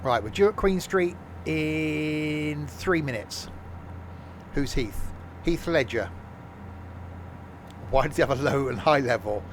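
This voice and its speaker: A man's voice: a little high, takes it slowly, long pauses, a little hiss or hum. Jokey man rambling through gameplay. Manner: rambling, jokey, chats with live viewers; frequent ums and self-corrections. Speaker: streamer. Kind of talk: casual gameplay commentary.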